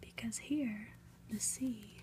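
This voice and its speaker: A woman softly whispering a story aloud in an ASMR style, deliberate and lingering on phrases with pauses. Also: fairly high pitch, background noise. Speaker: ASMR reader, channel host.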